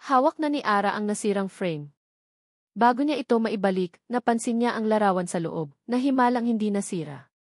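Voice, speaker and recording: A woman narrates a romantic audio drama with a measured, steady delivery. Her voice is mid-pitched. The recording is dry and close. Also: no echo. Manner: measured storytelling.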